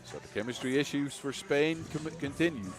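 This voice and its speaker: A man with a Dutch accent giving fast live basketball play-by-play, his energy rising with each play. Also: fairly high pitch. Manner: energetic, rising with the action, self-mocking asides. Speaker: play-by-play commentator. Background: music.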